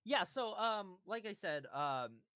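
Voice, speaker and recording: A man's voice: sits high, animated, takes it slowly, sharp tone, dry recording, studio quality. A man voice actor telling a story on a casual panel, rambling with many fillers and giggles. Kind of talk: casual livestream chat.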